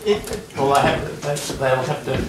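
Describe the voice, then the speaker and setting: A man's voice: medium pitch, flat delivery, moderate pace, noisy background. A man with an Australian accent chatting casually as a club member in an audience. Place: club hall, indoors. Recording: roomy hall with people in background.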